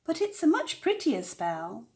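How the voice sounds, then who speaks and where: A woman voicing a bold, eager little witch girl in an expressive, earnest storybook read-aloud. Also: a little high, pitched above the performer's own voice. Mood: pleased, announcing. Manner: deliberate, expressive storybook delivery.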